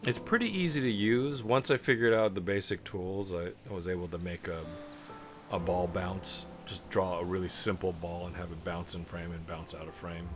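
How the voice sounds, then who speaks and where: A man streaming a video game live, chatting in a rambling way and clearing his throat often. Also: deep voice, normal speed. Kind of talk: live let's play commentary. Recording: game music clearly audible underneath. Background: game music.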